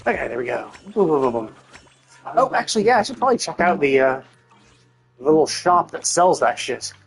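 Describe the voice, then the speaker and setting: Male game streamer, slightly high voice, casual and sweary, rambles through his thoughts, music underneath. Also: animated, fairly quick, vocal fry. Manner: rambling, thinking out loud, self-interrupting; casually profane. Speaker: livestreamer. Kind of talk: casual gameplay stream commentary.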